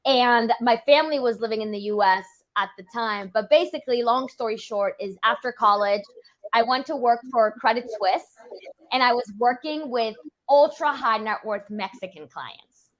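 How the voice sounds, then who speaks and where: A young woman from Europe, a financial planner, chatting quickly and casually with lots of "like" fillers. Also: fairly high pitch, poor audio. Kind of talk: casual interview chat.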